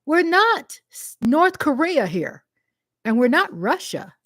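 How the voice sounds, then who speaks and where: A woman's voice: high-pitched, sing-song, slow pace, fairly bright tone, no echo, studio quality. A woman with a Texan accent speaking straight to her viewers, passionate and emphatic, and she repeats phrases for stress. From Texas. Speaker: vlogger answering a commenter. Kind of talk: impassioned direct-to-camera monologue.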